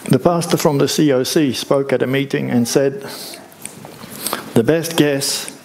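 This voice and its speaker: An older man, preaching a sermon from a church stage in a conversational, unhurried way with rhetorical questions. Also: mid-range voice, a little mellow, a little hiss or hum, poor audio.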